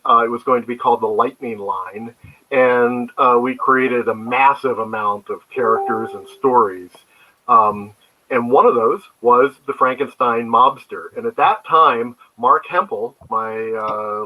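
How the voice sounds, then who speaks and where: A man's voice: mid-range voice, bright, forceful tone, rough recording. An older man, a guest comics creator, telling rambling anecdotes in a casual interview.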